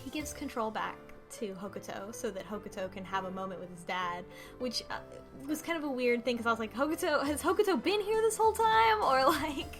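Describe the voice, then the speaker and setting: A woman's voice: a little high, lively intonation, brisk. A woman casually recapping a game's story among friends, exasperated and mimicking lines as she goes. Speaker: book club podcast panelist. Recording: music playing behind the voice.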